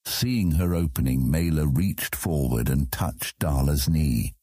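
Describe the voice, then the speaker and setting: A man with a husky voice reading scripted fantasy story narration as an audiobook narrator. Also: very deep voice, animated, slow, dark tone, no echo, studio quality.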